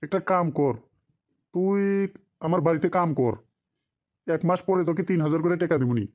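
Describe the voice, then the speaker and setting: Man acting callous cartoon boss, highish male pitch, scolding, dismissive, rural Bengali dialect, muffled low-fidelity sound. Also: normal speed, darkish tone, natural voice. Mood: scheming. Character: callous, exploitative boss and his bullying henchman. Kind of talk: cartoon drama dialogue.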